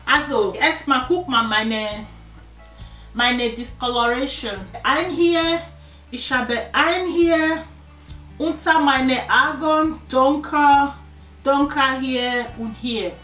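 A woman in her forties reviewing a skin serum from her sofa, in simple, halting German full of fillers. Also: high-pitched, a bit bright. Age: 40s. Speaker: beauty vlogger. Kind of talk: casual product review. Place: living room, seated on a sofa. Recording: echoey room, distant mic, faint music.

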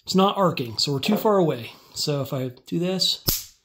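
A man with a slightly high voice talks through a workbench build in a relaxed, step-by-step way. He explains each move casually as he works with his hands, recorded indoors on a phone above the bench. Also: normal speed, background noise. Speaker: DIY tutorial maker.

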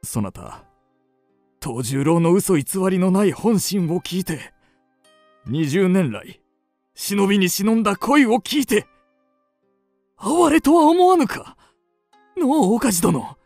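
An aging kabuki star, ardent and scheming, in a period radio play. He draws out his theatrical, archaic lines slowly with a creaky grain. The voice is recorded dry and close. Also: sits high, very animated, a little mellow, no echo, faint music behind, full character voice. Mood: desperate, intense. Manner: theatrical, archaic stage Japanese phrasing. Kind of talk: period radio drama acting.

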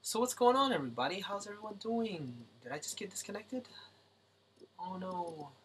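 A man hosting a casual late-night drawing livestream at home, his voice a little raspy and kept low so as not to wake anyone. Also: sing-song, normal speed, slight background noise. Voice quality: speaks softly to keep voice down. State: slightly raspy from singing.

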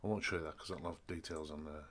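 A man's voice: extremely low pitch, steady tone, fast, a bit bright. A middle-aged man, chatty and matter-of-fact, walking through paperwork in a casual home vlog, a little throaty from a chest infection. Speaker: van life vlogger. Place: home kitchen indoors.

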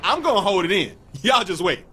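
An actor voicing an excitable, playful male gang sidekick in a video game, with music behind him. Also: high-pitched, a little fast, slight background noise, heavily acted. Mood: excited.

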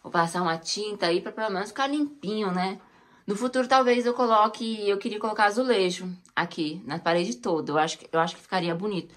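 A woman's voice: medium pitch, fast talker, a bit bright. A woman chatting to viewers as she walks through her house, pointing things out, filmed on a handheld phone. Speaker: home vlogger. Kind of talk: casual home tour chat. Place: cold stone house basement, indoors. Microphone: handheld phone camera.

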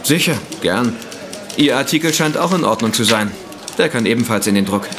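An actor playing a thoughtful, collegial newspaperman in a German audio drama, with a formal, deliberate manner. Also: mid-range voice, animated, music in the background, lightly acted. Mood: wry, collegial.

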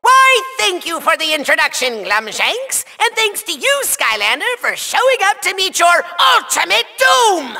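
A man voicing a petty, boastful, tantrum-prone villain overlord in a video game, theatrical, taunting and whiny, with a shrill nasal voice. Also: wildly swooping pitch, measured pace, gravelly, few pauses, pushed and bright, heavily acted. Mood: gloating. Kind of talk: over-the-top video game villain acting.

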